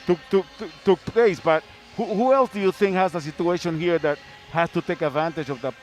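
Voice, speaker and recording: A high-voiced man hosts a basketball broadcast in a conversational way. He builds his questions haltingly, repeating words as he goes. Faint music can sit behind his voice. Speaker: sports broadcast host.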